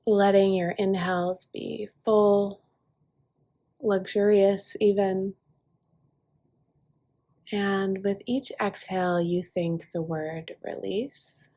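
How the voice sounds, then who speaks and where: A woman leads a guided meditation as a yoga nidra teacher. She speaks very slowly and soothingly, resting between short phrases. Her voice is soft and breathy, with an airy edge to the words. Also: mid-range voice, fairly even intonation. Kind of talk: guided relaxation meditation, speaking softly to listener.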